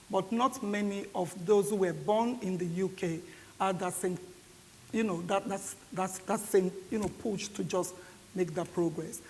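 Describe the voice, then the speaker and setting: An older woman with a Nigerian accent giving measured remarks as a panellist, point by point. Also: mid-range voice. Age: 50s or older. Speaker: conference panellist. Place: reverberant indoor event hall. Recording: echoey hall with clear background hiss.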